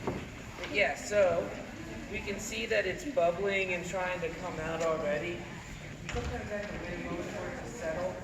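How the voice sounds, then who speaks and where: A man's voice: high-pitched, fairly even intonation, normal speed, heavy background noise. A young man giving a live science demonstration to a crowd, explaining each step in an upbeat way to the kids watching. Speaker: science educator. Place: large indoor event hall. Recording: echoey hall, far from the mic, crowd behind. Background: crowd chatter.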